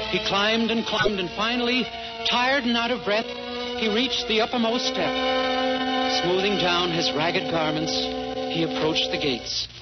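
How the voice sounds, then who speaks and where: Gentle high-voiced man telling a fairy tale. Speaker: narrator. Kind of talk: 1940s radio storytelling. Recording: dull 1940s radio broadcast. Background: music.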